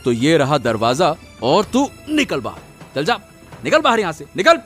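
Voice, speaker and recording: A man's voice: fairly high pitch, wildly swooping pitch, normal speed, fairly bright tone, soft background music. A man playing an aggressive, greedy young husband who bullies his wife in a cartoon moral story. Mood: demanding, harsh.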